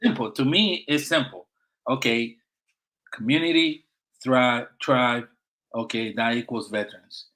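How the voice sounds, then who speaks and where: A man's voice: mid-range voice, unhurried, bright, forceful tone, poor audio. A middle-aged man with a Puerto Rican accent, a Marine veteran guest speaking earnestly in a conversational interview, with frequent fillers and restarts. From Puerto Rico.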